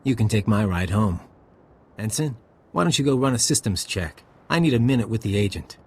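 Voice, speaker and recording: A man's voice: lowish voice, animated, brisk, husky. A man acting a sardonic, ruthless intelligence operative in a video game, with a dry, smug, casually menacing delivery. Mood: dry, brisk.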